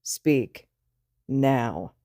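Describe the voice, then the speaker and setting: A woman voicing a tired, grumpy adult male teacher who talks flat and stern in a storybook reading. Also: a bass voice, measured pace, dry recording, studio quality. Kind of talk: fan fiction read aloud.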